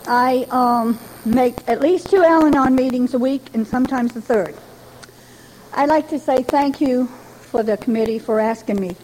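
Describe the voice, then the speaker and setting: An older woman with a high voice shares her life story with a meeting, folksy and chatty with a light touch of humor. A faint hum of ambience sits behind her. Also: moderate pace, mellow, rough recording. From Rhode Island, New England. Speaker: Al-Anon member giving a talk. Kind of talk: personal recovery story told to a meeting. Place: speaking to a gathering.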